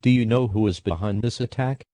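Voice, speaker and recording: Low, mellow male news anchor played by an actor. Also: brisk, dry recording, close to an everyday voice. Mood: serious. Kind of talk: scripted breaking-news interview.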